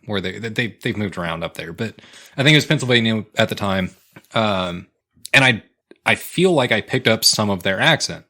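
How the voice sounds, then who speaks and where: Bright-voiced, low-pitched American man chatting. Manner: profane, slangy, often breaking into laughter. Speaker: podcast co-host. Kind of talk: casual comedic chat.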